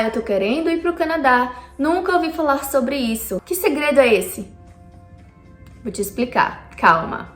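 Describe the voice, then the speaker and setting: Brazilian woman vlogger, higher voice, chatty and direct with viewers; echoey room, faint music under. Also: fairly bright tone. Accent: Brazilian Portuguese. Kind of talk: casual explainer vlog. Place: lives in Canada.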